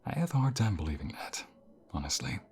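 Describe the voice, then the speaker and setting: A man playing a caring university professor in an ASMR roleplay, speaking in a calm, reassuring and gentle manner. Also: low voice, fast talker, a little hiss or hum. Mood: caring, encouraging. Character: caring male university professor comforting a burnt-out student. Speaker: voice actor.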